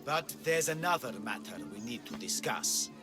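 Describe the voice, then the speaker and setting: A man's voice: medium pitch, expressive, normal speed, music in the background, close to an everyday voice. An actor playing a vain, flamboyant man who claims to be a god in a video game, pompous and theatrical. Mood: boastful. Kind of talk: video game cutscene acting.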